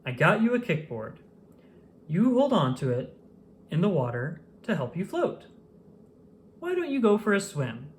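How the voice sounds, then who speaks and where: A woman's voice: on the low side, big pitch swings, measured pace, long pauses, a little hiss or hum, pitched above the performer's own voice. A woman doing a kind, careful grown man. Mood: encouraging. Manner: gentle advising tone.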